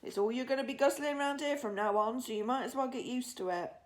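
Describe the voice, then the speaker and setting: A woman's voice: fairly high pitch, fast, close to an everyday voice. A woman voicing a big, friendly old male giant from a children's storybook, speaking emphatically in mangled grammar with invented words. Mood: disgusted, goading. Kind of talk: children's storybook character reading.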